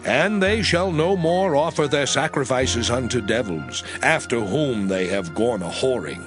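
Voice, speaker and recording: A man reading scripture in a formal, solemn and measured declamatory style. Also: mid-range voice, lively intonation. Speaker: scripture narrator. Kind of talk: dramatized audio Bible reading, formal narration. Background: music.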